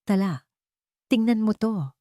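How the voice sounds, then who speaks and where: A woman's voice: medium pitch, lively intonation, takes it slowly, dark tone, dry recording, voice pushed up. A woman narrator voices a decisive young Filipino man, hero of a love story. He is protective and resolute, recorded dry and close. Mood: intent.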